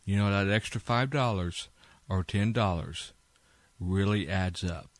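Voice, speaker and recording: Casting: male podcast announcer, lowish pitch, earnest scripted delivery, close and dry. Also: measured pace, no echo. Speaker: podcast host and internet radio operator. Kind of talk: scripted podcast intro and appeal.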